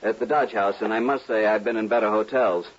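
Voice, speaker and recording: Male actor as impatient, formal city gentleman. Quick, husky, lowish voice for a vintage radio western. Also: fairly even intonation, natural voice. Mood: urgent, haughty. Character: stiff, demanding gentleman visitor from the East. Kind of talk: 1950s radio western acting. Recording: muffled vintage radio recording.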